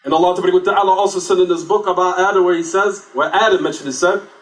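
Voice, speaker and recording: A man's voice: high voice, fairly even intonation, racing. A man giving a religious lecture, often asking rhetorical questions and then answering them himself. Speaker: Islamic lecturer. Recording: echoey room, distant mic.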